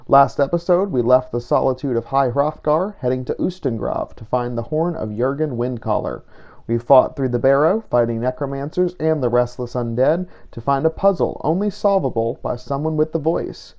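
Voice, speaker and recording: Man narrating a game roleplay in character, gravelly, mellow tone, measured and reflective. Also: medium pitch.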